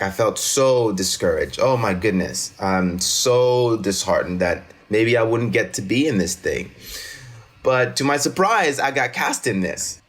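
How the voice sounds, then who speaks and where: A man from Cameroon, a non-native English speaker, telling personal stories expressively and emphatically as a teacher being interviewed. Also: lowish voice. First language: not English. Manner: expressive, emphatic, often checks with "right?". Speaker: teacher and actor, interview guest.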